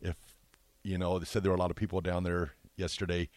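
Lower-voiced man co-hosting sports talk. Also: level tone, fast talker, a little mellow, dry recording. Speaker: sports radio co-host. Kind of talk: casual sports talk discussion.

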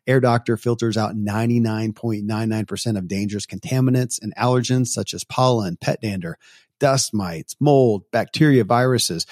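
A man hosting a podcast, reading a sponsor ad and spelling out web addresses slowly. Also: mid-range voice, a little mellow, no room sound, studio quality. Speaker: podcast host reading ads. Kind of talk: read sponsor advertisement.

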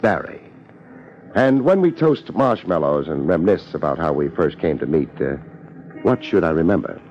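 An American actor playing a laid-back but tough private investigator in a 1950s radio drama, with wry, slangy, hard-boiled delivery. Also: male voice, very deep voice, very animated, normal speed. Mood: playful banter. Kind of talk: 1950s radio detective drama acting with narration. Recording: dull, lo-fi 1950s radio recording.

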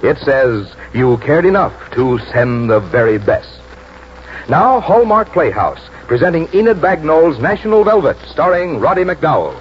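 A man's voice: fairly high pitch, big pitch swings, measured pace, noisy background. A man with an American accent announcing in a polished, declamatory 1940s radio style. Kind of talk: 1940s scripted announcing and advertising. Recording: muffled 1940s radio recording. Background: loud music.

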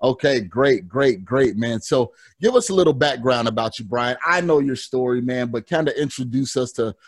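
A man's voice: medium pitch, animated, normal speed, no echo, studio quality. A man hosting a show, giving upbeat introductions and casual questions in an enthusiastic, repetitive way. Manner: enthusiastic, repeats words and phrases. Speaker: podcast host.

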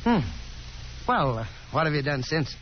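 A man's voice: fairly high pitch, sing-song, moderate pace. An actor playing a breezy, self-assured young man in a 1950s radio drama, hearty and folksy with small hesitations. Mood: confident, advising. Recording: muffled, noisy 1950s radio broadcast.

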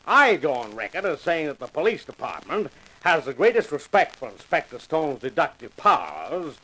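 A taunting homicide inspector from a fifties radio play. His fairly high voice swings widely in pitch, heavy with sarcasm as he needles people and takes charge. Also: big pitch swings, normal speed, pushed and bright, exaggerated voice, rough recording. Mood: sardonic, needling. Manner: mocking, heavily sarcastic, needling. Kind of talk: 1950s radio drama acting.

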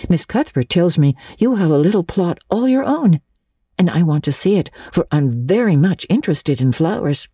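A woman reading a gentle, kindly minister's wife. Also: fairly low pitch, expressive, normal speed, breathy edge, dry recording, clean studio sound, pitched above the performer's own voice. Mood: soothing. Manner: soothing, reassuring.

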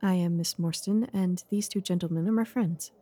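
Woman playing composed young lady, husky, dry sound, polite, resolute, period audio drama. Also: on the low side, moderate pace, mellow, no room sound, natural voice. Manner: collected, polite.

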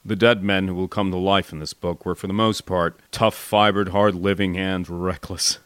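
An American man narrating a first-person memoir as an expressive audiobook reader. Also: sits low, normal speed, clear, resonant tone, no room sound. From United States.

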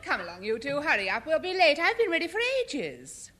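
An actress playing a bossy, impatient, brisk woman in a 1950s radio sitcom. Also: high-pitched, big pitch swings, noisy background. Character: bossy, impatient woman hurrying the men along.

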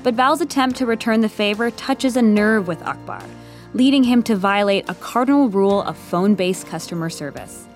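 Wry female narrator over soft music. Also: medium pitch. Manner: measured, storytelling, wry. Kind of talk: scripted comedy-drama narration.

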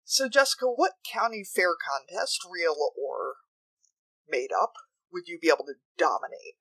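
A woman with a Midwestern accent co-hosting a podcast, playful and quick with joking asides. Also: on the low side, fairly bright tone, poor audio. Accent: Midwestern American. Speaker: podcast co-host. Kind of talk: casual podcast chat.

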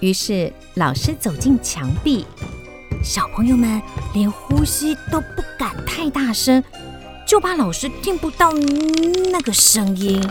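Female narrator, animated intonation, suspenseful children's storytelling, theatrical, scripted, music behind. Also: sits high, measured pace.

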